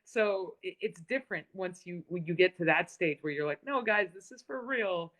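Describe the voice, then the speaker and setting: A woman's voice: fairly low pitch, normal speed, a bit bright. A woman interviewing in a casual live-stream chat, enthusiastic and laughing often.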